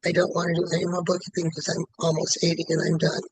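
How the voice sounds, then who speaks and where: A man in his late seventies, a client in a tapping session, who repeats one statement over and over in an even rhythm. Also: fairly high pitch, fast talker, husky, no echo. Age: 70s.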